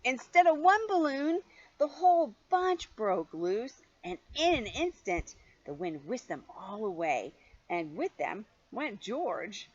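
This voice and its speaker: A woman's voice: fairly high pitch, sing-song, slow, dry recording, lo-fi sound. An older woman, a grandmother reading a children's story expressively, with chatty questions to her young listener.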